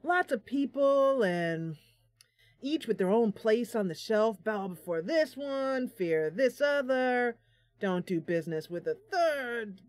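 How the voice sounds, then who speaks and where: A woman voicing a plain-spoken male trading post keeper from a fantasy game, talking in a reluctant, musing, halting way. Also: on the high side, expressive. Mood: grudging, reflective.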